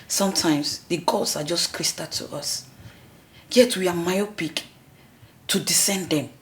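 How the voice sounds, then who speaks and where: A woman's voice: fairly low pitch, slow pace, fairly bright tone, slight background noise. An actress playing an outspoken palace woman, blunt and defiant.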